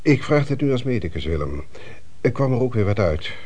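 A man playing a probing doctor with a husky voice, questioning a witness in a radio drama. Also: medium pitch, very animated, fairly quick, a little mellow. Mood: probing, curious.

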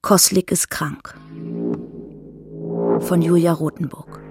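An announcer reading scripted radio drama credits in a measured, formal manner. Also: mid-range voice, steady tone, over music.

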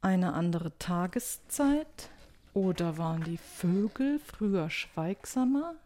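A woman improvising a spoken-word performance, deliberately repeating and playing with her words. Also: on the low side, lively intonation, mellow. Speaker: poet.

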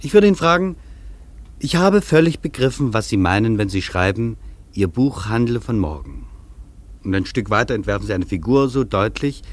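An actor playing an earnest, reflective traveller in a scripted radio feature, speaking deliberately and rhetorically. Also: male voice, lowish voice, sing-song. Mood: earnest, circling. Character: earnest reflective male traveller recounting an imagined interview. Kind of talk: scripted German radio feature, literary narration.

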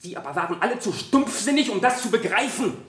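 An actor playing a scornful, fanatical witness who lectures the court with heated contempt in a radio play. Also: male voice, sits high, big pitch swings, quick pace, crowd noise. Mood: bitter, contemptuous. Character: scornful, fanatical political witness, contemptuous of everyone around him. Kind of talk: 1970 German radio play acting. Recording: roomy, echoing studio courtroom.

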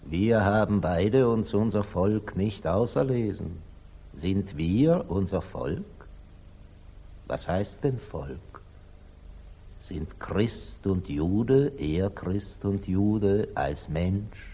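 A wise, wealthy merchant in a classical 1940s radio play. His delivery is slow and reflective with gentle irony, swinging widely in pitch, and the voice has a husky grain. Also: expressive, heavily acted. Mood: grave, earnest. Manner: measured, reflective, gently ironic. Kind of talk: 1940s German radio drama acting, classical stage diction. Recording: 1940s radio recording, at times reverberant.

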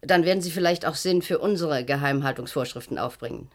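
Stern, sardonic clinic matron, lowish voice. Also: female voice, fairly quick. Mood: brusque, condescending. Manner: brusque, probing, condescending. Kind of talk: German radio play acting.

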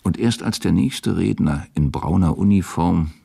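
A man narrating a literary radio drama in a measured, sober manner. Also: low voice, vocal fry, mellow, husky.

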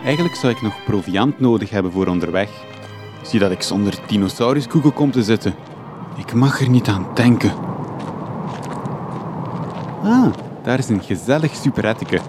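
A man's voice: lowish voice, lively intonation, a little fast, over music, close to an everyday voice. A man with a Flemish accent playing a naive, pompous young journalist in a comic radio drama, declaiming lyrically. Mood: polite, flustered.

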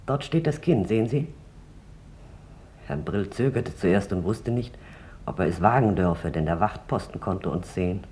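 A performer playing a bitter woman from Amsterdam who gives testimony in a radio drama, recalling it haltingly and intensely. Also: low-pitched, lively intonation, mellow, a little hiss or hum. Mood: grave recollection. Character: bitter adult woman from Amsterdam, camp witness.

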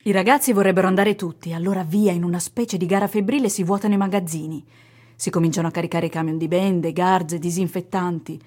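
A woman's voice: fairly low pitch, steady tone, quick pace, darkish tone, close to an everyday voice. A woman narrating a scripted radio piece with a literary, storytelling delivery.

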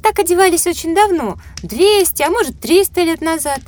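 An observant child in a children's educational radio play. The voice is very high. The child sounds thoughtful, knowing and full of questions. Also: female voice, expressive, normal speed, a little mellow. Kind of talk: acted children's educational audio drama.

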